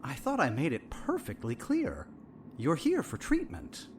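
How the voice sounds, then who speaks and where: A man's voice: on the high side, wildly swooping pitch, measured pace, a little hiss or hum. An actor playing a smug, evasive male therapist with an arch, formal manner in an audio drama. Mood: smug, wry.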